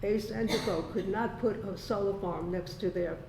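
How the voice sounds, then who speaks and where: Woman, resident at public comment, halting formal speech, gravelly fry, echoey noisy meeting room. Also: medium pitch. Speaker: resident speaking at a town board meeting. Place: indoor town board meeting room.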